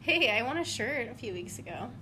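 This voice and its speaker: A woman in her twenties chatting casually and telling animated stories. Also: a little high, brisk, noisy background. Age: 20s. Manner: animated storytelling, acts out quotes. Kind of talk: casual chat.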